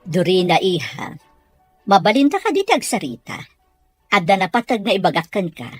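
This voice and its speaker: A woman's voice: medium pitch, very animated, normal speed, faint music behind. A woman playing a firm, caring mother in an audio drama, speaking in an earnest, admonishing way. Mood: stern, earnest. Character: firm, caring mother correcting her child. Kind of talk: Ilocano audio drama acting.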